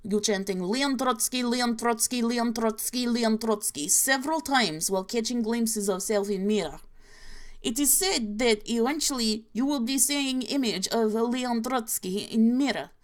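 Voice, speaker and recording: A woman voicing a grim, deadpan Soviet storyteller in a put-on mock Russian accent with clipped, broken grammar. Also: a little high, unhurried, lightly acted. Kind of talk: reading creepy stories aloud.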